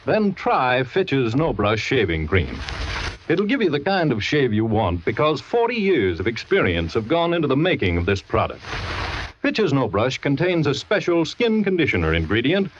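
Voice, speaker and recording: A man's voice: medium pitch, huge pitch swings, moderate pace. A man announcing a sponsor's commercial on 1940s radio with a polished, emphatic sales delivery. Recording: muffled, noisy 1940s radio recording.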